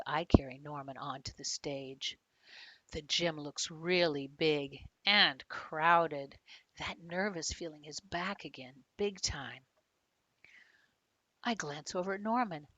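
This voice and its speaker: A woman, a children's author, reading a storybook aloud expressively, with dramatic pauses and chatty questions to young listeners. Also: sits low, a bit bright, no echo, poor audio.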